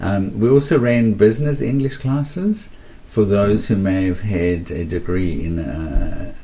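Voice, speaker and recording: A man with a South African accent speaks as a guest on a radio interview. His voice sits very low with a dark, mellow tone. He talks earnestly and haltingly, filling gaps with ums. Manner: earnest, hesitant, many pauses and ums.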